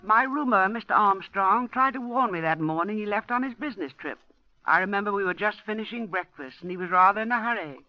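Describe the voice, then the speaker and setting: An actress playing an older landlady who narrates and scolds in a brisk, old-fashioned way, in 1940s radio acting on a lo-fi old broadcast. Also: mid-range voice, slight background noise, natural voice. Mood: narrating.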